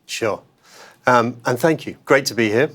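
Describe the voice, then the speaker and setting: A man's voice: mid-range voice, measured pace, fairly bright tone, slightly rough. A man speaking as a guest on a podcast interview, talking conversationally with many fillers and self-corrections. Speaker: interview guest, investment manager.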